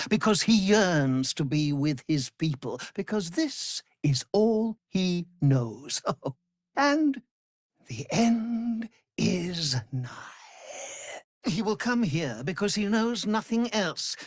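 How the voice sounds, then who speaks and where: An imperious, megalomaniac vampire lord from a fantasy video game. He speaks very slowly, savoring each threat, and his pitch swings widely between hushed menace and pompous, theatrical proclamation. The recording is close and dry. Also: dry recording, exaggerated voice. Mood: smug certainty.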